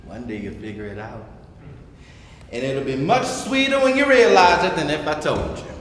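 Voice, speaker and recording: A man acting a wise, motherly party hostess with a gentle, folksy manner, in a staged reading in an echoey hall. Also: on the high side, big pitch swings, moderate pace. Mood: teasing, reassuring. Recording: very echoey hall, noisy live stream.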